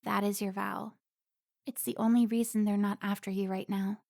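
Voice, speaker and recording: A woman's voice: mid-range voice, level tone, normal speed, gravelly, darkish tone, dry recording, clean studio sound, close to an everyday voice. A woman playing a stern, protective member of a vampire slayer guild in a cinematic audio roleplay, commanding and scolding.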